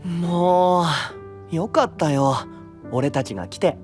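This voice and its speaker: A man playing a caring, earnest young knight and protective friend, gently reassuring, in a fantasy audio drama. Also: fairly high pitch, takes it slowly, soft background music. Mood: soothing.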